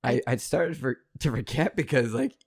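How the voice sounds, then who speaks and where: A woman's voice: very deep voice, normal speed, slightly rough. A woman in her thirties co-hosting a podcast, dry and wisecracking, often swearing in casual chat. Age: 30s. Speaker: podcast co-host.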